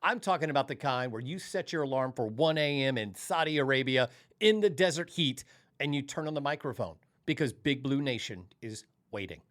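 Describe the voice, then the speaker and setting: A man's voice: medium pitch, lively intonation, a little fast, a bit bright. A middle-aged American man from Tennessee hosting a sports podcast, speaking with emphatic showmanship in upbeat intros and interview chat. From Tennessee, United States.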